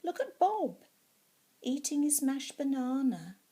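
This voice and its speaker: Exasperated storybook mum, read by a woman: lively pitch, pointed scolding, unhurried. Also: heavily acted. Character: exasperated mother scolding her small daughter.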